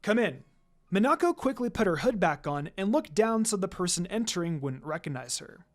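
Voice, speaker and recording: An animated narrator reads a scripted story aloud. The pitch moves around a great deal, giving the prose a lively read-aloud feel. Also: fairly quick. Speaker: fan fiction narrator.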